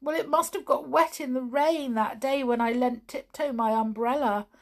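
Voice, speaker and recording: A woman voicing a gentle male clockwork clown toy who whimpers and sobs in a high, quavering voice. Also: moderate pace. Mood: woeful.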